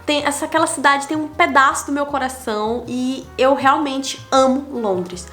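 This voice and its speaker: A woman's voice: sits high, fairly even intonation, moderate pace, bright, forceful tone, faint music behind. A young Brazilian woman with a Brazilian Portuguese accent, talking candidly in a casual vlog and counting off numbered lessons. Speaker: digital nomad vlogger. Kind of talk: casual reflective vlog monologue.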